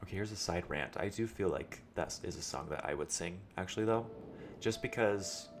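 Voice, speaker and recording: A young man with a low voice, chatting casually and thinking aloud while streaming a game. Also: normal speed, over music. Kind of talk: casual let's-play chat.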